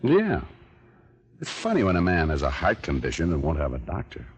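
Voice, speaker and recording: An actor playing a cool, cocky private detective in a vintage radio noir, sardonic and clipped. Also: male voice, a bass voice, wildly swooping pitch, normal speed, husky, exaggerated voice. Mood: matter-of-fact. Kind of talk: 1940s radio noir acting and narration. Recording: old radio recording with loud music.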